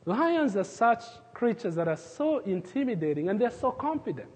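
A man from Kenya, preaching a sermon and telling a story with fillers and restarts, sometimes dropping his voice to a hush. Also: high voice, sing-song, moderate pace, breathy edge, big-room sound. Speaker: pastor.

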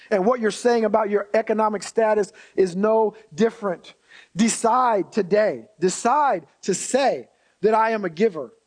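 A man preaching a sermon to a congregation, emphatically repeating key phrases and slipping in conversational asides and rhetorical questions. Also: sits high.

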